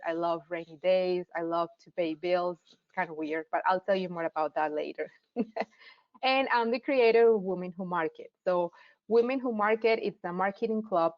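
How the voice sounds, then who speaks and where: A woman's voice: on the low side, no echo. Colombian-accented woman teaching a marketing class. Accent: Colombian Spanish. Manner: encouraging, direct instructions to the audience. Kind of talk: online class lecture. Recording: dull lo-fi sound.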